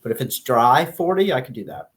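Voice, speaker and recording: A man's voice: mid-range voice, lively intonation, brisk, a bit bright. American male co-host, casual talk. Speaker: podcast co-host. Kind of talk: casual banter between friends.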